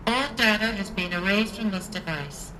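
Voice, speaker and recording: An actress voicing the female computer voice of a data device in a game cutscene, announcing in a flat, synthetic way. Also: mid-range voice, normal speed, background noise, natural voice. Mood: neutral.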